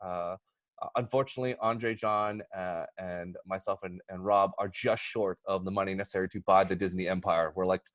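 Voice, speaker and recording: A man's voice: deep voice, fairly even intonation, a little fast, dry recording. A man hosting a podcast in casual chat, with a jokey, rambling manner and frequent asides. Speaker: podcast host. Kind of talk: casual chat, introducing topics and pitches.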